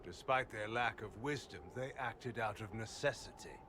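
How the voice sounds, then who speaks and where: An actor voicing a measured, scholarly male mage who speaks calmly. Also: on the low side, noisy background, close to an everyday voice. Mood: thoughtful.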